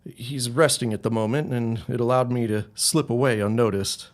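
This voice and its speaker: A man acting a polite, hesitant and dutiful neighbour in a period audio drama, speaking formally and gravely. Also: mid-range voice, fairly even intonation, moderate pace, lightly acted.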